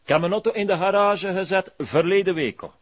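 Cocky Flemish suspect, muffled sound. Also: male voice, high-pitched, a little fast. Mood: indignant. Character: male suspect under questioning, cocky, irritable, evasive. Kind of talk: naturalistic television crime drama acting.

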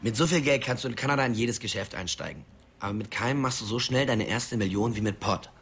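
An actor playing a brash, slangy young drug-dealing sidekick in a radio crime drama. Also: male voice, mid-range voice, fast, a bit bright, breathy edge. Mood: scheming. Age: young adult.

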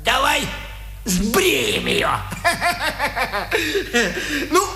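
An actor playing a sadistic Nazi prison warder in a radio drama, mocking and barking orders. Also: male voice, very high voice, very animated, sharp tone, faint music behind, heavily acted. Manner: taunting, drawn-out jeers and barked commands. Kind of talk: 1950s radio drama acting. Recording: echoey 1950s radio studio recording.

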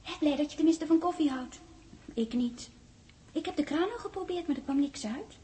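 A little ghost girl of ten in a 1960s radio drama. The voice sits high and soft-toned, with a mellow warmth. She coaxes and teases in a childlike way, ready to reproach. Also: animated, normal speed, a little hiss or hum. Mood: playful. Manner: childlike, teasing, coaxing. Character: ghostly ten-year-old girl, coaxing, playful, reproachful.

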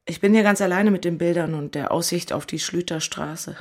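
Radio play lead: anxious, exalted single woman in love, played by an actress, slightly low-pitched. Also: a little fast, a little mellow, close to an everyday voice. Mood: surprised, flustered. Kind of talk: German radio play acting.

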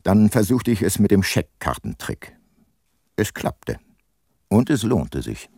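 An actor playing a world-weary private detective who narrates his case soberly in a crime radio drama, with a husky voice. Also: on the low side, big pitch swings, takes it slowly, a little mellow, no echo, studio quality, full character voice. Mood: sober, reflective.

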